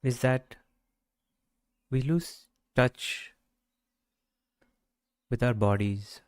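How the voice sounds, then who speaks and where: Slow, smooth-voiced male meditation guide. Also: mid-range voice, dry recording, studio quality. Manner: gentle, measured, with long pauses. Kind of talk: guided meditation, unscripted talk.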